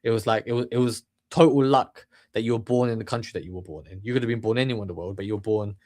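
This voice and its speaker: A man's voice: fairly low pitch, quick pace, a little mellow. A man from the UK talking through a loose motivational monologue, full of restarts, fillers and rhetorical questions. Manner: rambling, many restarts and fillers. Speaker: channel host.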